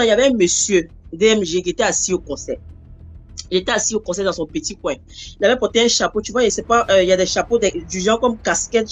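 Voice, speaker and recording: A woman telling a personal story in a rambling, animated way, acting out the voices she quotes. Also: mid-range voice. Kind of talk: casual spoken storytelling.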